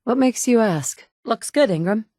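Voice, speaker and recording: A woman's voice: fairly high pitch, animated, a little slow, mellow, no room sound. An actress in her thirties voicing a game's player heroine, a determined mother searching for her son, with dry wit and a blunt, curt edge. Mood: determined, wry. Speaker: player character. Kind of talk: game dialogue lines. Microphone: close studio mic.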